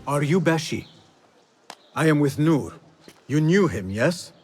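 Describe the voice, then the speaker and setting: A man acting a humble, cautious young rebel assassin in a video game drama, serious and deliberate. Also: fairly high pitch, animated, husky, faint music behind, exaggerated voice. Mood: guarded.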